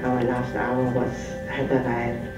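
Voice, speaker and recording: An older woman giving recorded testimony as a survivor, speaking slowly and haltingly with many pauses and fillers. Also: very deep voice, completely flat delivery, echoey room, distant mic, over music. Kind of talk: recorded first-person testimony.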